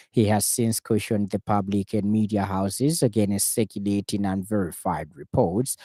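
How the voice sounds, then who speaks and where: A man with a Zambian accent reading a scripted radio news bulletin in a formal, measured way. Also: on the low side, warm tone, no echo. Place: radio studio.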